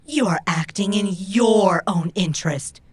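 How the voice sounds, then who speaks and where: A woman acts out a proud female robot in a cyberpunk audio drama. The voice is husky and slow, and every phrase comes out formal and exact. The robot is stubborn and will not bend. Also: mid-range voice, a little mellow. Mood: stern, reproachful.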